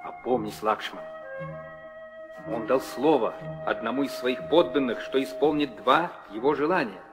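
An actor playing a dutiful, steadfast young prince in an old theatrical audio drama, speaking in solemn declamation. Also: male voice, mid-range voice, moderate pace, over music, natural voice. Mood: solemn resolve. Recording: old radio play, hall reverb.

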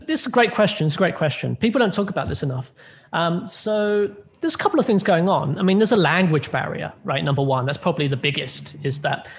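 This is a man in his thirties answering interview questions over a video call with earbuds. His voice sits a bit high for a man, and he works through each point in turn with plenty of ums and restarts. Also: animated, a little fast. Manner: explanatory, lists points with many fillers and restarts. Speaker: tech analyst guest. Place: home room with plain light blue wall.

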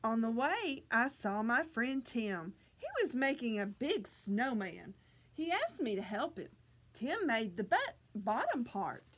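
Slow, high-pitched woman reading to children. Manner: deliberate, animated, exaggerated enunciation for children. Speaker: children's librarian reading at story time. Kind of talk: expressive picture-book read-aloud for young children. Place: small library children's room, indoors.

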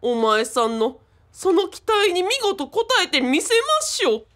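A woman's voice: high-pitched, sing-song, measured pace, fairly bright tone, full character voice. A woman playing a flamboyant cult founder turned comic storyteller, with showy old-fashioned patter in a radio drama. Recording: music behind the voice.

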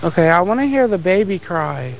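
A man in a tunnel at night, talking hesitantly in hushed tones, full of "like" as he searches for words. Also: high-pitched, very animated, a little fast, gravelly, a little hiss or hum, poor audio. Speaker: paranormal investigator. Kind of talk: casual chat. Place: dark tunnel outdoors at night.

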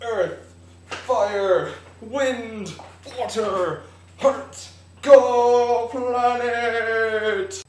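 Young man doing booming Benjamin the elemental vampire. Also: extremely slow, echoey room, a little hiss or hum, full character voice. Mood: proclaiming. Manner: loud, proclaiming. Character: grandiose male vampire commanding the elements.

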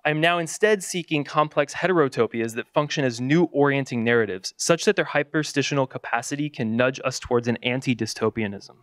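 A man's voice: mid-range voice, dry recording, studio quality. Man reading a formal academic paper. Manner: formal, wordy, measured phrasing. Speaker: academic conference speaker. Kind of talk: scripted academic talk, read aloud. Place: conference session.

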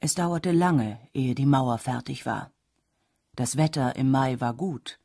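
A woman's voice: a bass voice, slow pace, gravelly, dark tone, no echo, clean studio sound. A woman narrating a folk tale as a scripted audiobook. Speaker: storyteller.

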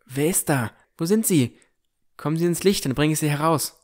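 An actor playing a puzzled but determined young armored swordsman who narrates his fantasy audio drama in a measured, introspective way. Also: a little high, darkish tone, lightly acted. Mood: calling out. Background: music.

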